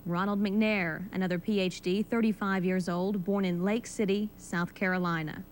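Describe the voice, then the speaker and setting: A woman's voice: steady tone, moderate pace, low-quality recording. An American woman reading scripted television news as an anchor. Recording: old TV broadcast with faint rumble.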